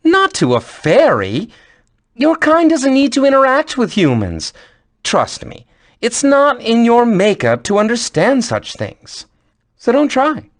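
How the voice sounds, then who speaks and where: A woman's voice: on the high side, huge pitch swings, measured pace. A woman playing a cocky, flippant, bold spirit messenger in a fantasy game, with a sassy, theatrical delivery. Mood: condescending.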